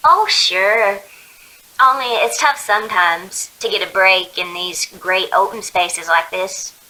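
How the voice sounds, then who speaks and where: An actress playing a breezy, slangy young female hitchhiker in an old-time radio drama, with a drawn-out, folksy delivery. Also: medium pitch, bright, forceful tone, poor audio. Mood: puzzled, amused.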